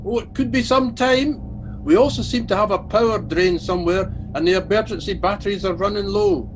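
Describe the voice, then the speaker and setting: A man's voice: sits high, moderate pace, over music, natural voice. A man playing a gloomy, evasive starship engineer with a Scottish accent in a comic radio play. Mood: sheepish. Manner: doom-laden, evasive.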